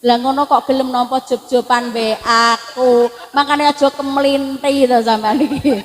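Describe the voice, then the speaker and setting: A woman's voice: sits high, fairly even intonation, measured pace. A woman in her forties with a Javanese accent, giving a comic sermon from a stage, joking and teasing the crowd. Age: 40s. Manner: joking, chatty, teases the crowd, breaks into song. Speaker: preacher. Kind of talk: comic sermon at a religious gathering. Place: on a stage at an event.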